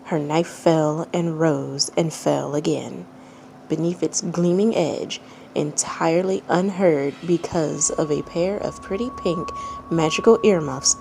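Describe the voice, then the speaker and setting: A woman's voice: low voice, unhurried, vocal fry, mellow, a little hiss or hum, low-quality recording. A woman reading a story aloud as a dramatic narrator, in the manner of someone sharing fan fiction. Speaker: fan fiction reader and channel host.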